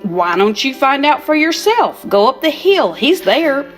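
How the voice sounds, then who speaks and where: Casting: an older woman as a carefree skipping wooden girl, bright and unhurried. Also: sits high, big pitch swings, soft background music, pitched above the performer's own voice. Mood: breezy. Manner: bright, breezy, lilting.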